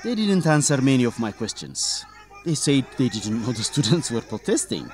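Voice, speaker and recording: A man's voice: mid-range voice, big pitch swings, moderate pace, darkish tone, faint music behind, natural voice. A man playing a driven, inquisitive reporter in a radio drama. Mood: serious. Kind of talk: English-teaching radio drama dialogue.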